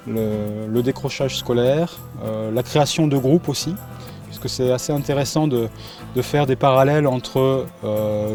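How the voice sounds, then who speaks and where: A man's voice: medium pitch, darkish tone. A middle-aged man being interviewed casually, speaking hesitantly and often repeating his words. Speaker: interviewee, professional sled-dog musher.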